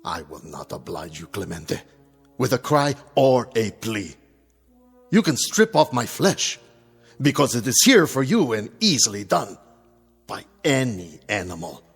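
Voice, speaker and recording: A very husky, gravel-throated old dictator, fallen but defiant, holds forth in a radio drama over faint music. His theatrical delivery swings widely, full of scorn and bitter knowing. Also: medium pitch, big pitch swings, unhurried, full character voice. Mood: scornful defiance. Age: older. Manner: theatrical, rhetorical.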